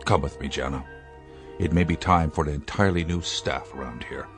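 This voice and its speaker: A man's voice: extremely low pitch, a little fast, soft background music. An actor playing a domineering, indignant father in a radio drama, commanding his household staff. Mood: stern. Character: stern domineering wealthy father.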